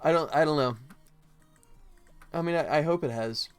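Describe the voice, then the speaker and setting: Casual livestream host, game developer, rambling, jokey, medium pitch, music playing behind the voice. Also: moderate pace, smooth. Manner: rambling, jokey, acts out mock quotes. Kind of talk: casual livestream chat while working.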